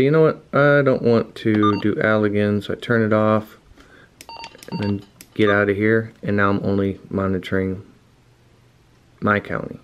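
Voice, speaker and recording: A man's voice: on the low side, a little hiss or hum. A man giving a casual, unscripted product demonstration, rambling a little as he thinks aloud. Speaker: product reviewer. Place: indoor room at home.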